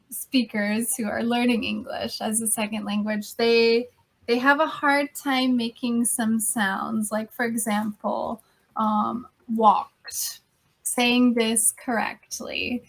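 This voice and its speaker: A slow, unhurried woman with a slightly raised voice, talking on a video call. She chats casually as an English tutor and explains sounds patiently, with easy laughter. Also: fairly high pitch, vocal fry. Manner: chatty, explains pronunciation patiently, laughs often. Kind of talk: casual conversation class. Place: standing at home during a video call.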